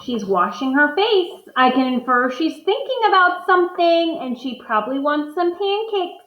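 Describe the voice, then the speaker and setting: Woman teacher, read-aloud lesson, talks through her inferences; higher voice, roomy echo, muffled sound. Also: big pitch swings, moderate pace. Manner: thinks aloud, modeling inferences for students.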